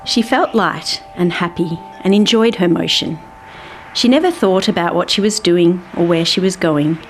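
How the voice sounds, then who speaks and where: A woman's voice: on the low side, moderate pace, a little hiss or hum. A woman narrating a scripted children's story as its storyteller. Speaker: author and storyteller.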